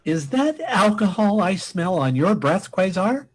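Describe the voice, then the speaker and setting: A man playing a pompous, commanding space admiral in a comic radio play, speechifying emphatically in a husky voice. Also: sits high, big pitch swings, a little slow, full character voice. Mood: stern, suspicious. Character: blustering, commanding space admiral, male senior officer.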